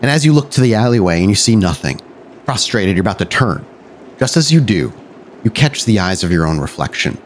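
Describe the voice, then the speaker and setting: A man's voice: on the low side, very animated, fairly quick, a little hiss or hum. A man working as a game master, narrating a tabletop roleplay podcast with dramatic, cinematic scene-setting.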